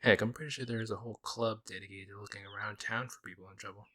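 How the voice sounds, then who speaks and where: Casting: male actor as teasing, protective werewolf shifter, lowish voice, casual playful delivery, intimate audio roleplay. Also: fairly even intonation, quick pace, pushed and bright, a little hiss or hum. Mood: reassuring, protective.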